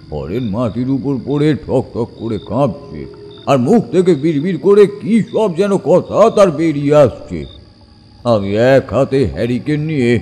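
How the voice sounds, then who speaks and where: Lively-pitched actor as an older man telling ghost stories. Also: close to an everyday voice. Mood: grave, reflective. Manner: suspenseful, deliberate, with dramatic pauses. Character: older village man recounting an eerie youthful ghost encounter. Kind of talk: dramatic horror audio story narration.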